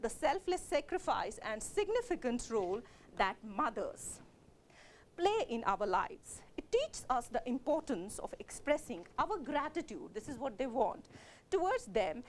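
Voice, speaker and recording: A woman's voice: a little high, big pitch swings, a little slow, a little hiss or hum. A woman with a Kashmiri accent giving a literature lecture as a college lecturer, explaining steadily with frequent fillers and self-corrections.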